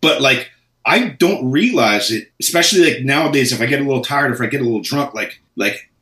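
A man's voice: medium pitch, lively intonation, brisk, bright, forceful tone, reverberant, distant mic. A man from Philadelphia with a slight Philly accent, chatting casually and laughing often in slangy talk. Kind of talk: casual chat.

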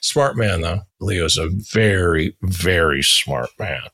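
Very low-voiced man in his sixties. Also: animated, slow, pushed and bright, no echo, clean studio sound. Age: 60s. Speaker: podcast host. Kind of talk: casual interview chat.

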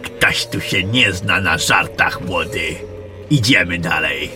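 Gruff old-soldier general, played by a man, low pitch, slow delivery, wary, colloquial, fantasy audio drama. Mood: wary, commanding. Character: gruff veteran general mentoring a young warrior. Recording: music under the voice.

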